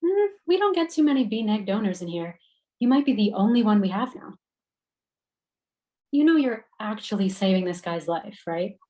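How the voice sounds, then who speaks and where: A woman's voice: medium pitch, very animated, normal speed, dark tone, natural voice. A woman playing an attentive, motherly hospital worker in a screenplay table read. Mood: fussing, attentive. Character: motherly, attentive medical woman, phlebotomist or doctor.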